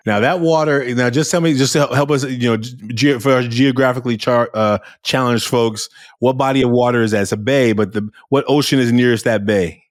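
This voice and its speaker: A man hosting an interview podcast, talking in a loud, animated way with frequent restarts. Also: medium pitch, a little fast, no room sound, studio quality. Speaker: podcast host. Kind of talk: casual interview chat.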